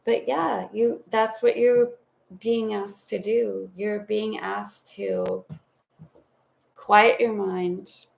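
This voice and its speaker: Woman tarot reader on a home live stream; slow, unhurried delivery with a gravelly edge; gentle and spiritual. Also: medium pitch, level tone. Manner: soothing, meandering, spiritual talk with affectionate asides.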